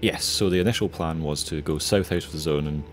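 Low-voiced young man. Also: fairly quick, soft background music. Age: young adult. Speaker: pilot narrating his flight. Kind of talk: casual voice-over commentary.

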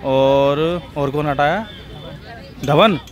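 A man's voice: on the high side, very slow, a faint crowd. A young man in his twenties, seated outdoors, giving plain reasoned opinions into a handheld mic. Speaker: interviewee. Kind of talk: casual opinion. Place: outdoor sports ground, seated.

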